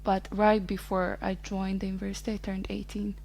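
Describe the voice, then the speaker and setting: A woman's voice: mid-range voice, completely flat delivery, brisk, creaky, a little mellow. Easygoing woman in her twenties. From Ethiopia. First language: Amharic. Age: 20s. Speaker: podcast guest. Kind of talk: casual interview chat.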